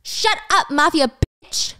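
Hot-headed young guy in a story retelling, voiced by a woman: pitched up high, indignant, insistent, defensive. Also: animated, slow, bright, forceful tone, exaggerated voice. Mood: angry. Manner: heated, swearing, insistent.

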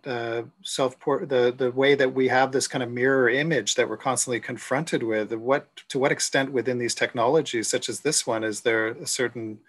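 A middle-aged man moderating a panel on a video call, talking haltingly with many fillers and restarts. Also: mid-range voice, fairly bright tone. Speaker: gallery moderator. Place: room at home. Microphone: video call webcam.

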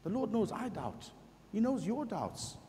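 Middle-aged parish priest, expressive sermon delivery, long church reverb. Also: male voice, sits high, a little hiss or hum. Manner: expressive preaching, voicing scripture quotes, slow emphatic pauses. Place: large church sanctuary before the altar.

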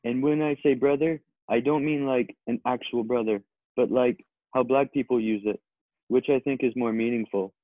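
A man playing a dim, vain, earnest male model in a comedy table read, speaking solemnly and haltingly. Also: medium pitch, fairly even intonation, no room sound, close to an everyday voice. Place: indoors at home on a video call. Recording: narrow, tinny phone-like call audio.

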